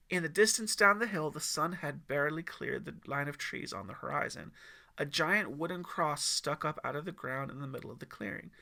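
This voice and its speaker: A man's voice: a little high, gravelly, bright, forceful tone. A man reading scripted story narration as the narrator of his own audiobook.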